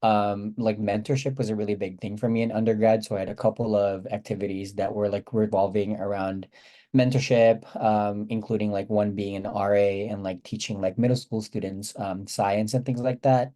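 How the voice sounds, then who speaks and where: Flat, low-voiced young man on a call. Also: moderate pace. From Northern California. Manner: relaxed, hesitant, many "um" fillers. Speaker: student panelist. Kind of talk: casual panel talk. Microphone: video call.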